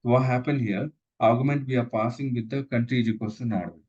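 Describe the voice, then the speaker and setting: A man walks through code in a lesson, halting and repeating himself with frequent fillers. His voice sits at an ordinary middle pitch. The sound is slightly dull and lo-fi, like a screen recording. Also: steady tone, a little mellow, breathy edge. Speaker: instructor. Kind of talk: live coding lesson walkthrough.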